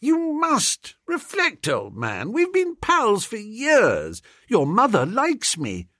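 A man voicing a flustered, excitable upper-class young Englishman who pleads with his pals in an audiobook story. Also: high-pitched, measured pace, husky, no echo, clean studio sound, voice pushed up, exaggerated voice. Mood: urgent pleading.

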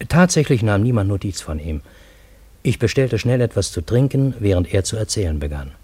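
An actor playing a Parisian man who narrates his own strange adventure in a radio drama, in measured literary storytelling. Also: lowish voice, big pitch swings, a little mellow, husky, no echo. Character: male Parisian narrator, astonished friend of time traveller.

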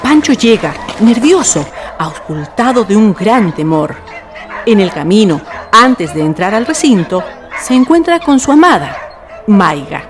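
A woman's voice: medium pitch, sing-song, unhurried. A woman reading scripted narration for a radio drama.

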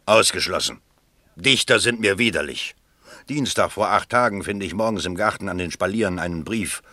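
A man's voice: low-pitched, moderate pace, sharp tone, no room sound. An actor playing a vain, blustering middle-aged nouveau riche father with political ambition, pompous and emphatic, in a German radio play. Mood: indignant.

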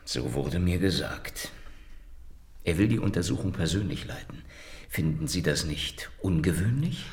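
An actor playing a sardonic, hardboiled Soviet secret police investigator, dry and probing, in a German radio crime drama. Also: male voice, low voice, lively intonation, measured pace, darkish tone, husky, no echo, full character voice. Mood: probing, weary.

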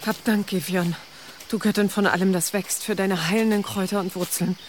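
A woman's voice: mid-range voice, fairly even intonation, fairly quick, a little hiss or hum, lightly acted. An actress playing a determined pagan herbalist and devoted mother in a medieval radio drama, speaking earnestly. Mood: reverent, grateful. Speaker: actor in a radio play. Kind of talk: German radio drama acting.

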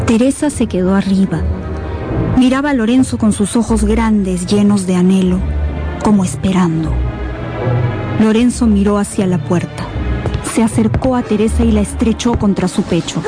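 A woman's voice: mid-range voice, normal speed, pauses often. A woman giving dramatic scripted narration for a radio novel. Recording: music behind the voice.

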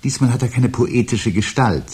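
An actor in a German radio play from the 1970s, playing a brooding man full of dread who speaks in measured, portentous riddles. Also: medium pitch, darkish tone, slight background noise, natural voice. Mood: grave. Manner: measured, portentous, cryptic, given to pathos. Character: brooding man haunted by dread of humanity's end.

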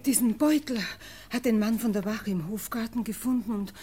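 An actress playing an anxious, deferential housewife in a 1950s German radio drama, speaking in a hesitant, respectful manner. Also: female voice, a little high, normal speed, warm tone, close to an everyday voice. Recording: old radio recording, faint noise.

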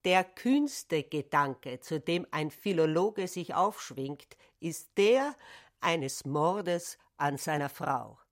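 Casting: articulate woman with a rather low voice for unhurried, measured radio-play narration. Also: animated.

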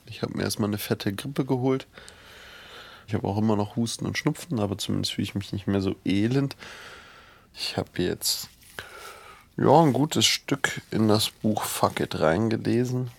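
Gravelly-voiced young man's audio diary. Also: lowish voice, normal speed, dry recording. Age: 20s. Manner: casual, hesitant, many fillers and restarts. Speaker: student and journalist trying a self-help book. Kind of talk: self-recorded audio diary.

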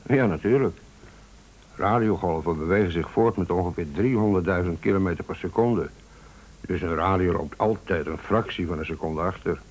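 Een acteur in een Nederlands hoorspel speelt een nuchtere radiomonteur die beleefd, precies en stellig uitleg geeft. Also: male voice, deep voice, moderate pace, low-quality recording. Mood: matter-of-fact. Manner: polite, precise, certain. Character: matter-of-fact radio repairman, confidently explaining. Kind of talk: Dutch radio play acting.

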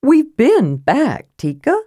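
Woman acting as a little male kender adventurer, high-pitched, lively, chatty, earnest, fantasy storybook. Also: takes it slowly, no echo, clean studio sound, full character voice. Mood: eager. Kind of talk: fantasy audiobook character voice.

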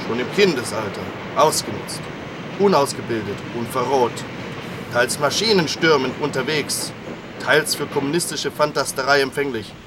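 A man acts out an earnest nineteenth-century revolutionary in an amateur radio drama. He addresses a comrade in long, formal, oratorical phrases at a middling pitch. A very loud vehicle-like rumble runs behind him. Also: lightly acted. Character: earnest 19th-century anarchist agitator addressing his comrade. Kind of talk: amateur radio play, declamatory monologue.

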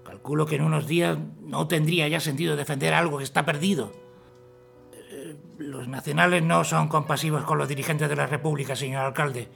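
Hombre que hace de coronel ronco y apresurado. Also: male voice, a little high, steady tone, gravelly, a bit bright, faint music behind. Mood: urgent. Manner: formal, hurried. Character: urgent male army colonel.

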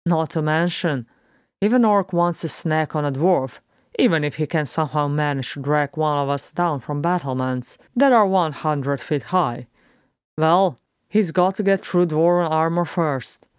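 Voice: mid-range voice, measured pace, clear, resonant tone, no echo, clean studio sound, close to an everyday voice. A narrator voicing an irreverent male dwarf warrior in a storybook, talking in a breezy, sardonic, colloquial way. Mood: cocky.

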